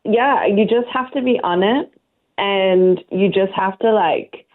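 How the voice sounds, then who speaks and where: A woman's voice: mid-range voice, takes it slowly. A young woman calling into a podcast, talking in an easygoing, friendly way and laughing often. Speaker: guest on a phone call. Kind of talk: casual chat. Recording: tinny phone line.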